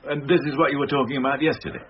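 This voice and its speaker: An actor playing a stern but caring police inspector in a radio drama, with a clipped, questioning, authoritative manner. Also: male voice, mid-range voice, steady tone, very fast, close to an everyday voice. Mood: concerned. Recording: dull lo-fi radio audio, faint noise.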